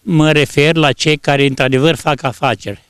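An elderly man answering a street interview haltingly, searching for words, into a handheld microphone. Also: on the high side, fairly even intonation, fairly bright tone, smooth, no echo. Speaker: passer-by interviewee. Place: outdoors on a snowy street. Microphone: reporter's handheld microphone.

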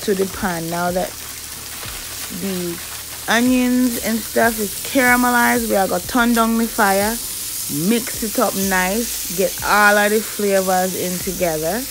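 A woman with a Jamaican accent narrating her cooking in a home kitchen, relaxed and folksy. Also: medium pitch, unhurried, background noise. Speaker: cooking vlogger. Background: background music.

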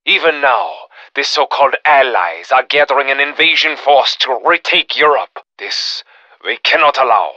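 An actor playing a cold, cruel, fanatical German military officer with a German accent, a theatrical and commanding video game villain. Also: male voice, medium pitch, sharp tone, dry recording, dull audio. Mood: commanding, rallying. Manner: theatrical, commanding, contemptuous, deliberate. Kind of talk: video game villain voice acting, menacing monologue.

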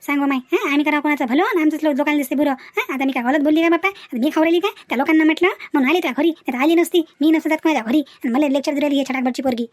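A woman's voice: high-pitched, rapid-fire, few pauses, no room sound, natural voice. A woman voicing a querulous, scornful elderly village matchmaker in a cartoon, with a Varhadi Marathi accent. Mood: offended. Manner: querulous, scolding.

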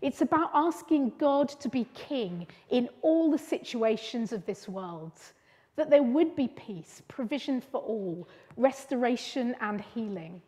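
Woman preaching in a reverberant church. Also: a little high. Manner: earnest, gentle teaching delivery with measured pauses. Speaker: preacher leading a church service. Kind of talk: sermon. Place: church hall.

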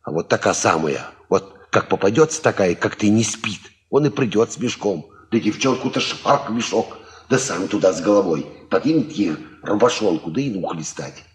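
A low-voiced old peasant grandfather played by a man in a Soviet radio play. He grumbles and mutters in a folksy, kindly way, with big swings of pitch. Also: lively intonation, normal speed, lightly acted. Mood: teasing. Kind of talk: Soviet radio drama acting.

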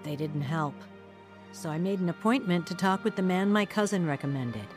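An actress plays a woman looking back on a troubled youth. Her voice is fairly low, reflective and confiding, in dramatized first-person narration with music clearly behind it. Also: animated, moderate pace, natural voice.

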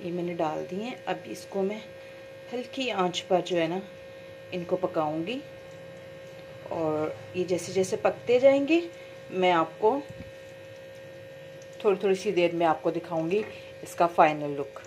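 A woman's voice: fairly low pitch, animated, measured pace, long pauses, noisy background. A woman who runs a beauty salon, chatting step by step through a homemade hair oil recipe in her kitchen, with small fillers as she works. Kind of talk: home cooking-style hair oil tutorial. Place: home kitchen at stove.

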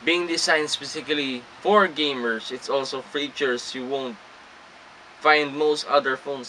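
Young filipino guy reading phone specs off a script, trips over words, bright voice, little ac hum behind. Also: mid-range voice, rough recording. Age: young adult. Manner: stumbles and restarts over words while reading aloud. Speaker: gaming vlogger. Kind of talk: reading phone reviews with casual asides.

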